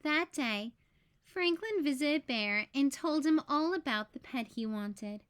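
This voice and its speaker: A woman narrates a children's picture book in a fairly high voice. She goes very slowly, phrasing each part deliberately so that young listeners can follow. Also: lively intonation, a bit bright. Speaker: read-aloud storyteller.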